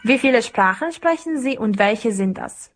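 A woman's voice: on the high side, lively intonation, normal speed, faint music behind. A young woman working as an interviewer, putting prepared questions to a guest.

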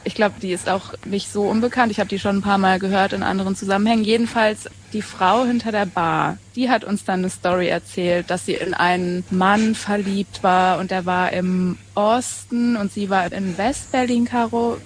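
Female podcast co-host, twenties, from southwestern Germany, medium pitch, informal narrative, audible noise behind. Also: fairly even intonation, moderate pace, hardly any pauses. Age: 20s. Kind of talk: casual conversational storytelling. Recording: clearly noisy background.

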